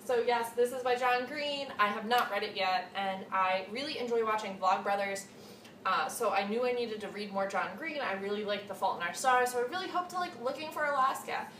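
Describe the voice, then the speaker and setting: Young woman doing a gushy casual book vlog, voice a bit high, roomy echo from the camera mic. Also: brisk, a bit bright. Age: 20s. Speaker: book reviewer vlogger. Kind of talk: casual chat to camera about books. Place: small cluttered room at home, indoors. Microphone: camera mic a short distance away. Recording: echoey room, distant mic, faint hiss.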